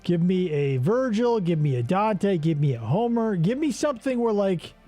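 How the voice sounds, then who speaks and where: A man's voice: high voice, very animated, normal speed, mellow, soft background music. A man hosting a casual comedy game show, rambling and sarcastic with profane asides.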